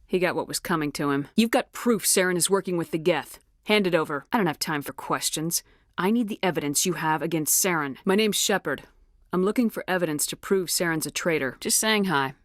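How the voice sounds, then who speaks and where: A woman's voice: lowish voice, brisk, no echo, studio quality, lightly acted. An actress playing a tough, commanding female military officer in a science fiction video game, speaking in a firm, clipped, no-nonsense way. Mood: businesslike. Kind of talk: video game dialogue lines.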